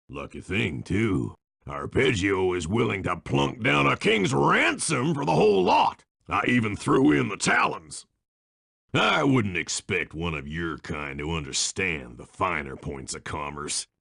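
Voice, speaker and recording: Gravelly frontier bison villain. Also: male voice, low voice, pushed and bright, full character voice. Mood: gloating, scornful. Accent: American rural frontier drawl. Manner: folksy, menacing, blustering. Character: burly boastful old-time frontier lumber baron bison villain. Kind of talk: cartoonish video game villain acting.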